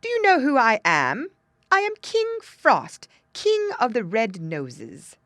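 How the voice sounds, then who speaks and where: A woman's voice: medium pitch, wildly swooping pitch, unhurried, dry recording, studio quality, pitched above the performer's own voice. A woman voicing an imperious male winter spirit from a fairy tale, snappish and taunting.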